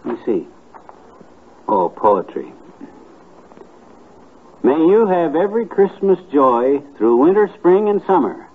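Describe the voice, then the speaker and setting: An actor playing a folksy middle-aged husband in a 1950s radio sitcom, on a muffled, noisy old recording. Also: male voice, fairly high pitch, sing-song, slow, long pauses, slightly rough, exaggerated voice. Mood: pleased, curious.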